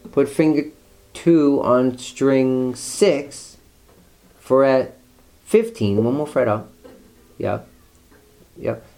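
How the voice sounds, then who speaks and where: Male guitar teacher, patient step-by-step delivery, soft guitar strums behind. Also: medium pitch. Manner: patient, walks students step by step. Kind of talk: live guitar lesson. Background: guitar playing.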